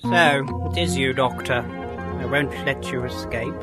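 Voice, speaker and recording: A man's voice: mid-range voice, a little slow, lightly acted. An actor playing a sinister male henchman who gloats and threatens. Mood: threatening. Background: music.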